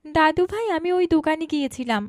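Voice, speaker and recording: A woman's voice: high voice, a little fast, no echo, clean studio sound, natural voice. A woman voicing a polite, earnest village woman in a moral cartoon, with a Bangladeshi accent. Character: village mother and her polite, earnest grown daughter. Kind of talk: cartoon moral story dialogue.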